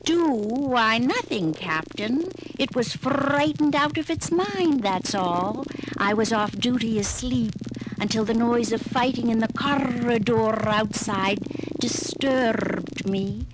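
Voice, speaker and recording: A gentle, catlike alien officer with a high, coaxing voice that takes its time. The old record carries loud hiss behind her. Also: expressive. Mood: soothing. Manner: soothing, unhurried, cooing.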